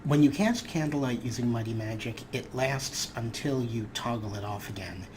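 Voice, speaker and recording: A man's voice: mid-range voice, normal speed, background noise. Wry man narrating gameplay. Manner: dry, wry humor, relaxed explaining. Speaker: let's play host. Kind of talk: casual game commentary.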